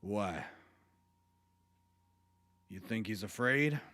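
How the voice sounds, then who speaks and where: A man playing a measured, watchful western marshal in a radio drama, noncommittal in manner. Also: fairly low pitch, lively intonation, bright, forceful tone, exaggerated voice. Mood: measured, curious. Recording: guitar music behind the voice.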